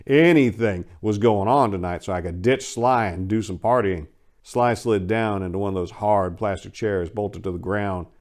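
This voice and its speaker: A man giving a dramatic first-person reading of a short story in a wry, sardonic and expressive manner. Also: on the low side. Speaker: story narrator.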